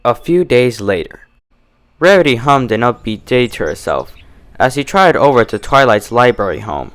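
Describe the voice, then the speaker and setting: A man's voice: medium pitch, sing-song, normal speed. A man reading a story aloud as scripted, dramatic narration. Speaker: fan fiction narrator.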